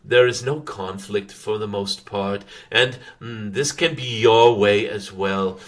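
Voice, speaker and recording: A man channeling a wise, benevolent dragon spirit in a spiritual message, speaking in formal, measured phrases broken by thoughtful hums. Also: on the low side. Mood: calm, instructive. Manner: formal, archaic-tinged phrasing. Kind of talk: channeled spiritual message, slow unscripted address to listeners.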